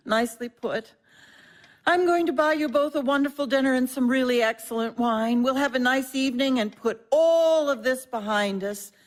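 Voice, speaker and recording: A woman's voice: high-pitched, normal speed, natural voice. An actress playing an imperious, dry-witted older mother with a commanding, formal manner in a contemporary audio drama. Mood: amused, dry.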